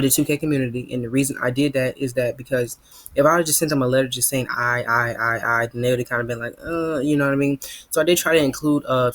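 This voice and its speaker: A man's voice: medium pitch, dry recording. A teenage boy, rambling casually to his viewers as a gaming YouTuber, sprinkling his talk with "man" and "you know what I mean". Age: teens.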